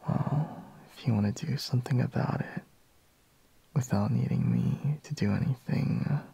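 A man playing a sleepy, reluctant, teasing boyfriend in an intimate ASMR roleplay, murmuring drowsily in a breathy half-whisper. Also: lowish voice, dark tone, dry recording, full character voice. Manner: slow, drowsy murmur with sighs and pauses.

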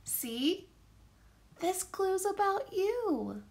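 A woman's voice: very high voice, big pitch swings, very slow, a little mellow, voice pushed up, heavily acted. A woman voicing a plucky, cheerful little gingerbread man in a children's storybook, with bouncy, determined rhyming delivery. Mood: kind, eager.

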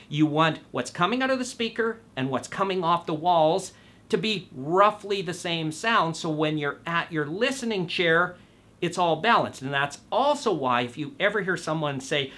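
A man in his fifties presenting to camera, explaining things in measured, emphatic phrases with stressed key words. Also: a little high, bright, forceful tone. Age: 50s. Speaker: presenter of an audio show. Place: small indoor studio room.

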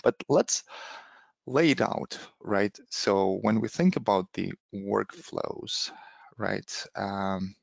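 A man's voice: on the low side, slow, vocal fry, no echo. A man hosting a webinar interview over a headset, moving hesitantly with many fillers and frequent "right?" checks. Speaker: host. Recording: dull lo-fi call audio.

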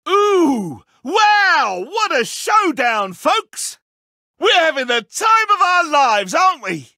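Raspy childish tyrant game villain, high pitched, swooping all over, grandiose and sulky. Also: male voice, very animated, slow, bright, forceful tone, no echo, studio quality, heavily acted. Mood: gleeful showman. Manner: mock-friendly, grandiose, sulky. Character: petulant, theatrical godlike villain, childish tyrant and showman. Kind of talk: theatrical game character acting.

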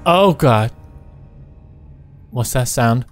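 A man casually commentating on a game he is playing, excitable and given to exclamations. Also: medium pitch, extremely slow, faint music behind. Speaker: gamer playing a co-op roleplay map.

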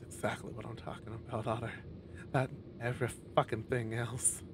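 Man doing a hushed, menacing furred brute. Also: medium pitch, flat delivery, a little fast, a little hiss or hum, pitched below the performer's own voice, close to an everyday voice. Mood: tense. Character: menacing, desperate big male furred brute.

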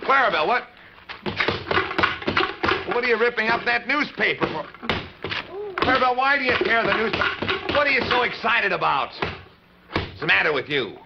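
A man's voice: sits high, lively intonation, moderate pace, vocal fry, long pauses. A man hosting a 1950s children's television show, exclamatory and fussing as he talks. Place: television studio set. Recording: dull, noisy old television soundtrack.

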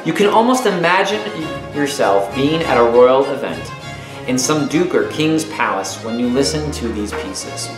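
A man in his thirties reads a children's nonfiction book aloud like a teacher, phrasing it expressively and clearly for young listeners. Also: medium pitch, sing-song, a little slow. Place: small room at home, indoors. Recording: roomy echo with music behind. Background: music.

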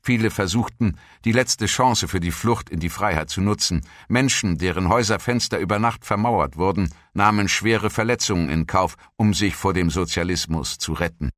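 A man reading scripted historical narration as a documentary narrator, in a measured, formal manner. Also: sits low, a bit bright, no room sound, studio quality. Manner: measured, formal read-out delivery.

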